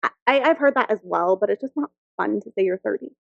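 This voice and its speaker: A woman's voice: mid-range voice, lively intonation, fast talker, gravelly, dry recording, highs cut off. A woman in her thirties, co-hosting a casual podcast, chatty and giggly, full of "like". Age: 30s. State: new mother of a ten-week-old. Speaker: podcast co-host. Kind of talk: casual chat.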